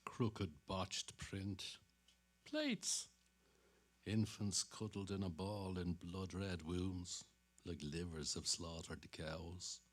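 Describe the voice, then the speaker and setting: An actor playing a pensive husband musing to himself in clipped fragments, in a dramatic reading. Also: male voice, sits low, steady tone, very slow, heavily acted. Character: Bloom, pensive Dublin husband musing privately over books. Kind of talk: dramatic reading of interior monologue.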